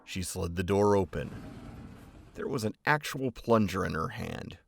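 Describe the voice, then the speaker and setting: A man narrating a story in a measured, reflective way. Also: deep voice, expressive, vocal fry, heavily acted. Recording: loud music bed under voice.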